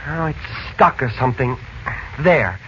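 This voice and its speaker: Excitable, animated teenage boy. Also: medium pitch, a little slow. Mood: doubtful, flustered. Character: excitable, hot-headed teenage boy, the hero's nephew. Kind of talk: 1940s radio serial acting. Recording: dull lo-fi old radio, faint noise.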